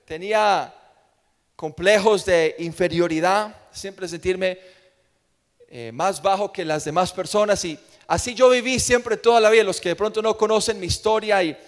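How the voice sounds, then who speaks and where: A man's voice: high-pitched, normal speed. A man with a Colombian accent preaching an evangelical sermon in an emphatic manner, repeating phrases for effect. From Colombia, grew up in Medellín. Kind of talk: evangelical sermon with personal testimony. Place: large church room with long reverb. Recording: long hall reverb.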